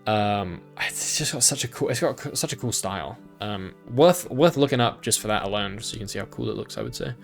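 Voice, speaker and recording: A man's voice: lowish voice, lively intonation, brisk, creaky, few pauses, soft background music. A young man casually chatting on a livestream about the games he played, rambling with lots of ums and restarts. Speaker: streamer.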